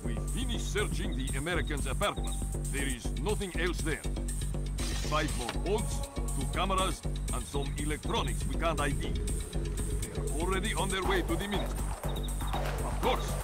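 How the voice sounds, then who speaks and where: A man's voice: fairly high pitch, expressive, normal speed, natural voice. A man playing an insistent henchman in a video game, reporting dutifully to his superiors. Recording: loud music behind.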